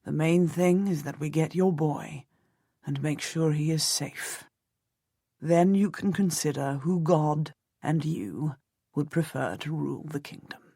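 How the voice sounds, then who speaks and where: A woman voicing a steady, cautious nobleman husband who speaks in a calm, measured, commanding way. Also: sits low, husky, no echo, clean studio sound, heavily acted. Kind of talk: audiobook character voice.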